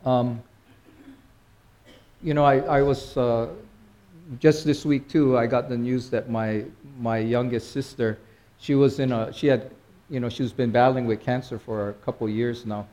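A middle-aged man preaching an informal sermon in a halting, conversational way, with many fillers and restarts. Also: medium pitch, fairly even intonation, mellow. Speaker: pastor.

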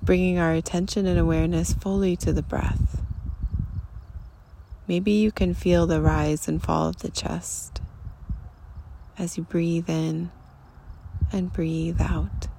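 A person leading a guided meditation in a gentle, soothing, unhurried manner, recorded live outdoors. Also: mid-range voice, level tone. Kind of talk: slow guided meditation and poem reading. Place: outdoors among hills and wildflowers. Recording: outdoor live recording, loud wind and nature noise. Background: wind, birds, nature sounds.

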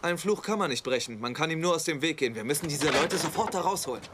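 A man's voice: a little high, expressive, fast talker, close to an everyday voice. An actor playing a blunt, pragmatic young male investigator in a dubbed TV drama, terse and matter-of-fact. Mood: grim, resolute. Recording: clear ambient noise behind the voice.

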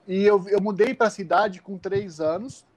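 A man from the Campinas area of Brazil, telling childhood stories in a casual, animated way on a live call, laughing often. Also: high voice, unhurried. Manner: animated, laughing, many restarts. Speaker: guest. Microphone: phone on a live stream.

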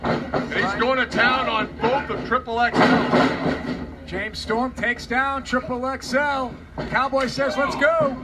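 Male wrestling commentator, extremely high excited delivery, heavy background noise on a broadcast clip. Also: slow pace, far from the mic. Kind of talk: excited live sports commentary. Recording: noisy, clattering archive broadcast clip.

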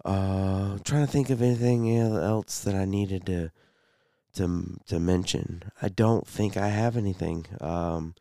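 Slow, deep-voiced congested man. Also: dark tone, dry recording, clean studio sound. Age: 30s. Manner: halting, many fillers and restarts. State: congested, slight cough. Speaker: podcast host. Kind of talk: solo sports podcast monologue.

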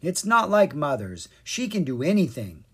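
A shy little fairy boy from a children's storybook, played by the storyteller. He is insecure and falters as he speaks. His raised voice moves around a lot in pitch. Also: sing-song, moderate pace, husky, full character voice. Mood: wistful. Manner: hesitant, faltering.

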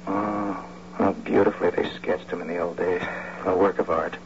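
A man's voice: sits low, level tone, moderate pace, gravelly. An American man playing an eager, cocksure accomplice who insistently explains a break-in in a radio drama. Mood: methodical, confident. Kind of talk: 1970s radio drama acting. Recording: muffled old radio recording, faint noise.

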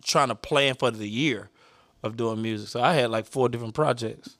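A man's voice: medium pitch, normal speed, fairly bright tone, no echo, studio quality. A man co-hosting a podcast, chatting casually about his music in a rambling way, often trailing off and restarting. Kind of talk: casual chat.

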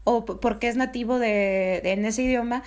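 A woman with a Mexican Spanish accent hosts a relaxed conversation, teasing her guest and walking through points with homely examples. Her voice sits a little high for a woman, and she keeps a loose, chatty rhythm. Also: moderate pace. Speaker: podcast host, former teacher of the guest. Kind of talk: casual chat.

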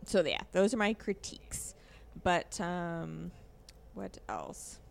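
Creaky-voiced woman chatting casually. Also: lowish voice, very animated, slow pace. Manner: informal, hesitant, thinking aloud. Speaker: podcast co-host. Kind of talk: casual discussion of a video game.

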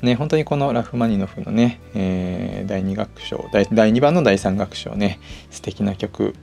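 A man's voice: on the low side, measured pace, creaky, warm tone. A middle-aged man hosting a personal audio show, talking casually and chattily to his listeners. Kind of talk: casual solo talk to listeners. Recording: music playing behind the voice. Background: background music.

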